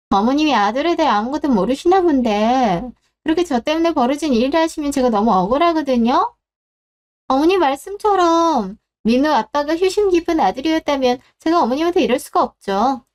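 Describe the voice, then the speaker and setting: A woman's voice: high voice, animated, normal speed. A woman in her thirties voicing a scheming daughter-in-law who sounds mocking and condescending behind polite words. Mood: smug, mocking. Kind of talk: radio drama acting.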